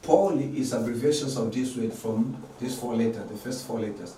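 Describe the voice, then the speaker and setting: Tanzanian-accented male lecturer, earnest and halting, mid-pitched voice, recorded from a distance in an echoey room. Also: dark tone. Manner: repetitive, earnest, halting with many fillers. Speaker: online math lecturer. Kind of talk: lecture introduction. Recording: distant mic in an echoey room with faint noise.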